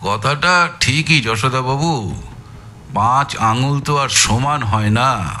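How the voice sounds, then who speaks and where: An actor playing a shrewd, courteous male investigator in an audio story, questioning in a measured, probing way with thoughtful pauses. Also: medium pitch, sharp tone, faint music behind. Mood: probing, casual. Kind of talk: audio story dramatised dialogue.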